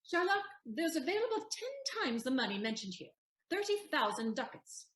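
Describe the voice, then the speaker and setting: A woman's voice: high-pitched, sing-song, normal speed, a bit bright. A woman acting a composed, eloquent heroine posing as a lawyer in a Shakespeare audio play, formal and declamatory, in a roomy recording. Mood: formal, questioning.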